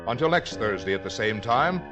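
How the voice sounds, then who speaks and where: An American man announcing on a 1950s radio broadcast, reading a script in a formal, measured way with deliberate enunciation. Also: on the low side, lively intonation, highs cut off, over music.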